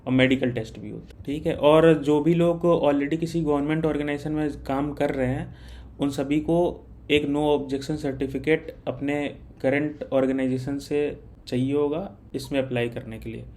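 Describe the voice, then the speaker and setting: A man with a slightly high voice talks through information in an informal, instructional way. He speaks like an online guide addressing applicants directly, often slipping English terms into his sentences. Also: fairly even intonation, normal speed, darkish tone. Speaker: exam guidance content creator. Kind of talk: informal explainer of recruitment details.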